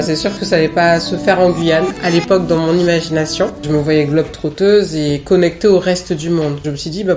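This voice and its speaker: A woman in her late thirties from French Guiana giving a reflective personal testimony, speaking candidly and with self-assured emphasis. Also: deep voice. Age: late 30s. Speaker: interviewee.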